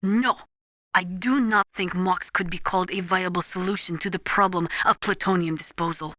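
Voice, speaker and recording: A woman's voice: fairly low pitch, steady tone, moderate pace, pushed and bright, dry recording, studio quality. A woman voicing a serious, knowledgeable nuclear weapons analyst in a video game, speaking formally and precisely in scripted, lecturing explanations. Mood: grave, cautioning. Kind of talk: scripted expository game dialogue.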